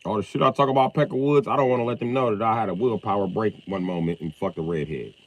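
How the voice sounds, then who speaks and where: A man chatting casually on a livestream, loud and profane, with an animated delivery. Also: fairly low pitch, quick pace.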